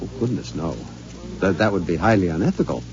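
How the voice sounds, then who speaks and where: A giddy, henpecked husband, stammering. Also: male voice, sits low, animated, fairly quick. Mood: confiding. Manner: stammering, confiding, gleeful. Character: henpecked middle-aged husband, giddy and secretive. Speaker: radio drama actor. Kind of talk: 1950s radio acting. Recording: dull 1950s radio recording, faint hiss.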